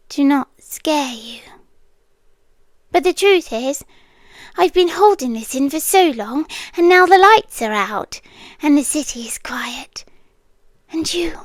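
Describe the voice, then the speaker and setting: An obsessive young yandere girl with a British accent, acted by a woman, murmurs in a high, soft voice right up at the microphone. The sound is dry and intimate, as in ASMR roleplay. She takes her words slowly and deliberately, often dropping to a whisper, with longing and quiet menace. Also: dry recording. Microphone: close microphone.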